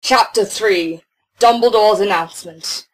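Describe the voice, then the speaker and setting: A woman's voice: mid-range voice, unhurried, rough recording. A woman reading scripted first-person story narration as the narrator.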